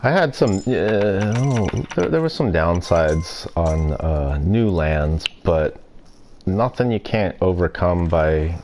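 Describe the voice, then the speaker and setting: Stuffy young guy streaming a game, super low voice, unhurried rambling chat. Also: a little hiss or hum. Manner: relaxed, rambling, self-deprecating. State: stuffy nose and throat. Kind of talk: casual gameplay commentary. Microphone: desk mic.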